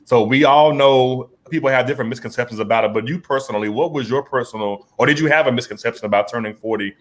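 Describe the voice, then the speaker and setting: A man's voice: medium pitch, fast talker, poor audio. A man in his forties hosting a casual conversation, laid-back and slangy, often repeating words as he talks. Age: 40s. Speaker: podcast host.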